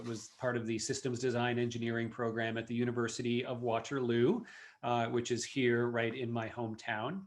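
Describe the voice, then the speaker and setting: A man's voice: mid-range voice, fairly bright tone. A man in his forties with a Canadian accent, a podcast guest who talks casually with many fillers. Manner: friendly, talkative, frequent fillers. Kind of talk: casual interview chat.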